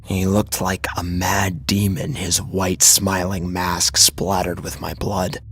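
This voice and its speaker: A man narrating a first-person horror story dramatically, with a grave, intense delivery and a husky, gravelly voice. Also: deep voice, flat delivery, slow, fairly bright tone.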